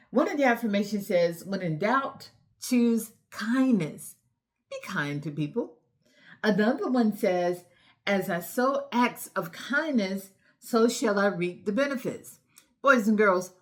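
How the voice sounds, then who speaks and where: A woman hosting a children's storytime lesson, encouraging and instructive, speaking directly to young children with emphatic, deliberate enunciation. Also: medium pitch. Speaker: children's read-aloud host and teacher.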